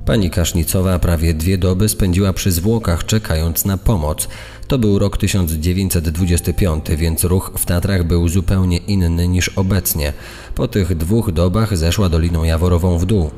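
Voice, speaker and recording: A man's voice: low-pitched, steady tone, moderate pace, mellow. A man giving explanatory, storytelling commentary in a reverberant recording. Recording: long reverberant hall sound. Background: faint music.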